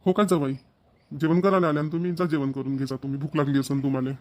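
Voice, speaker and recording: Cartoon casting: man playing accommodating middle-aged father, highish pitch, brisk speech, Varhadi Marathi accent. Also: level tone, close to an everyday voice. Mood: hospitable. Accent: Varhadi Marathi, Vidarbha. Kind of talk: cartoon family comedy dialogue.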